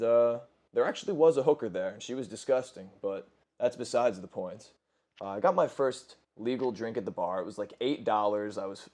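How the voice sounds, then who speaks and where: Profane rambling young man, slightly low. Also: a little mellow. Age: 20s. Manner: crude, profane, rambling with self-corrections. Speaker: gaming commentator. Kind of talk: casual storytelling over gameplay.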